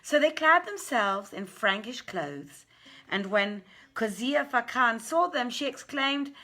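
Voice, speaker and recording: A woman reading an old literary tale aloud in a theatrical, measured and deliberate manner. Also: on the high side, expressive, bright, forceful tone. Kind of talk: dramatic literary story reading.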